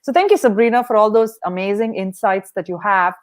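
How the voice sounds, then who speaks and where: A woman's voice: mid-range voice, a little fast. A woman hosting a leadership podcast interview, upbeat and encouraging as she asks questions. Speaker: podcast host.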